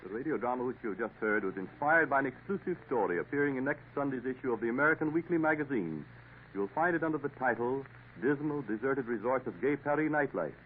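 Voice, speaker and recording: A man's voice: mid-range voice, lively intonation, fast, slight background noise. A man reading a formal scripted sponsor announcement as a 1950s radio announcer. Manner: smooth, formal announcer delivery. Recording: narrow lo-fi 1950s radio broadcast.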